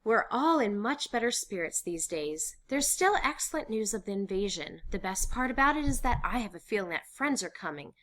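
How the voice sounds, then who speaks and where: A woman playing an earnest, lively teenage girl. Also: medium pitch, normal speed, hardly any pauses, voice pushed up. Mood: bright, hopeful. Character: earnest, impulsive teenage girl in wartime hiding.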